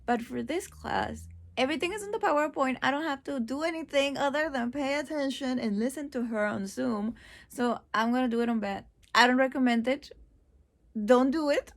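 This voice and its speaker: A woman's voice: high voice, moderate pace, gravelly, no room sound. A woman in her twenties, a native Spanish speaker, chatting informally and with self-deprecation in a casual vlog. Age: 20s. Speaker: vlogger.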